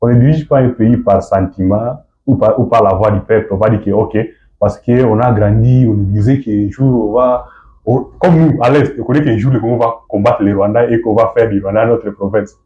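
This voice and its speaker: A muffled, lo-fi recording of a man with a deep, mellow voice and a Congolese accent. He speaks as an activist guest in an interview. His delivery is halting, and he often restarts his point to rephrase it. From DR Congo, from Goma. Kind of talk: political interview answers. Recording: dull, muffled lo-fi sound.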